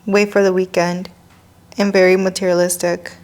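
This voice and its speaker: A woman's voice: lowish voice, level tone, normal speed, a little mellow. A young woman from Mexico hosting a podcast, chatting casually and dropping Spanish phrases into her English. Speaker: podcast host and lash artist. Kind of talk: casual chat.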